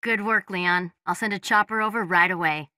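An actress playing a composed, professional mission support agent in a video game, businesslike and measured. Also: female voice, mid-range voice, sharp tone, no room sound, close to an everyday voice. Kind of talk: video game radio briefing acting.

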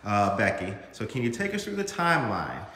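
A man's voice: lowish voice, wildly swooping pitch, fairly quick, fairly bright tone. A man hosting a casual morning show, interviewing guests in a large indoor room. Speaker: host. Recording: echoey reverberant room.

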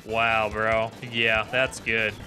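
A man's voice: lowish voice, completely flat delivery, slow pace, sharp tone, soft background music. A young man streaming a retro video game, commenting casually, with frustrated swearing. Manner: frustrated, swearing, laid-back. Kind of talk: casual live gameplay commentary.

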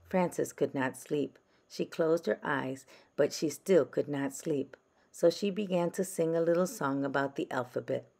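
Female picture-book reader: gentle read-aloud, low register, slightly gravelly creak. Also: measured pace. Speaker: storybook reader. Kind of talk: children's read-aloud narration.

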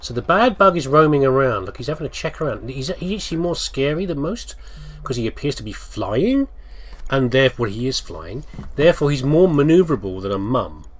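A British man hosts a let's play in a husky voice with very lively pitch movement. He chats playfully, puts questions to himself and talks to his game character as he goes. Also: a little fast. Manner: playful, chatty, asks himself questions, reads on-screen text aloud. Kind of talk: casual game commentary, talking to the game character.

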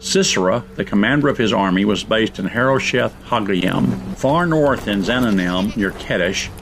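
Gravelly low-voiced male narrator. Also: a little hiss or hum. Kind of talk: scripted storytelling narration.

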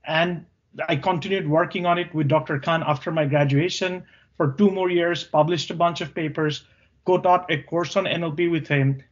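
A man's voice: fairly high pitch, fairly even intonation, moderate pace, muffled recording. A man around forty from Bangladesh, a native Bangla speaker with a Bangladeshi accent, answering interview questions about his career. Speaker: podcast guest, tech co-founder.

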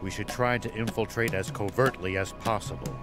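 A man's voice: on the low side, steady tone, a little fast, over music, lightly acted. A man playing a stern, cautious, composed older sheriff in a video game. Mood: grave, measured. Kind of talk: video game cutscene acting.

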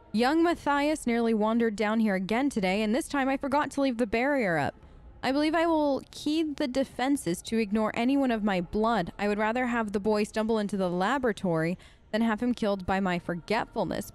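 A woman's voice: medium pitch, close to an everyday voice. A woman voicing a scholarly male enchanter's research journal from a fantasy game, reading in a musing, formal way.

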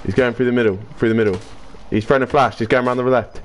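A young man streaming a shooter game, bantering crudely and yelling when excited. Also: medium pitch, quick pace, slight background noise. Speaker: gaming streamer. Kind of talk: casual gameplay chat with swearing.

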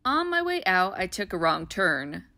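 A woman reading a children's picture book aloud in a gentle, expressive, child-friendly way. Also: fairly low pitch, measured pace, no echo, studio quality. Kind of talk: storybook read-aloud.

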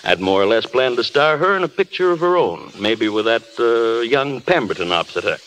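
An actor in a 1930s radio drama playing a tactful but frank film studio boss. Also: male voice, mid-range voice, animated, fairly quick, a bit bright, close to an everyday voice. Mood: reassuring. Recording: noisy old 1930s radio broadcast.

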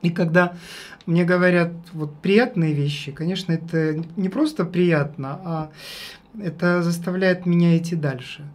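A man with a high tenor voice, talking casually as an interview guest, haltingly and repeating his phrases for emphasis. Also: fairly even intonation. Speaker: guest, singer and vocal teacher. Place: small radio studio. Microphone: studio condenser microphone.

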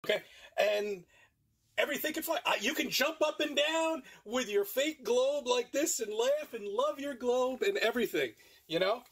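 Older man, husky and high-pitched, rambles sarcastically from a car seat. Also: lively intonation, moderate pace, a bit bright. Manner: meandering, repeats himself, sarcastic. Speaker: flat earth video maker. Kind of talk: rambling monologue. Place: inside a parked car.

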